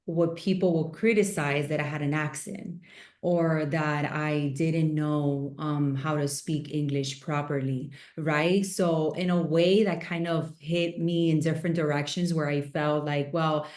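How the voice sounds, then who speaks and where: A woman's voice: low voice, steady tone, moderate pace, a little mellow, echoey room. A woman from Miami co-hosting a podcast, chatting casually and telling stories with lots of fillers. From Miami, USA. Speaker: podcast co-host. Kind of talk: casual chat.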